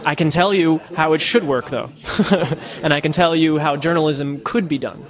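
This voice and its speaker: A man's voice: fairly high pitch, moderate pace, some chatter behind. A man from the United States, a podcaster, answering interview questions in a casual, thoughtful way with many fillers and restarts. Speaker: podcaster and citizen journalist. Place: Netherlands.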